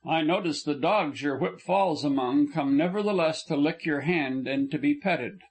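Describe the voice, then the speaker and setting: A man voicing a courteous young engineer who speaks in a gentle, formal, reassuring way. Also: fairly high pitch, normal speed, husky. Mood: wry.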